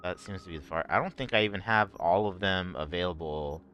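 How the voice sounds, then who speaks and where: A man streaming a game, talking in a rambling, halting way with many fillers, his voice a bit rough and strained. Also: low-pitched, a bit bright, muffled recording, a little hiss or hum. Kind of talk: casual gameplay commentary.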